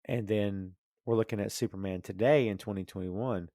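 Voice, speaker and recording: A man's voice: lowish voice, moderate pace, mellow, dry recording, clean studio sound. A man in a casual, opinionated podcast discussion, rambling and thinking aloud as he goes. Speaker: podcast host discussing comics and film.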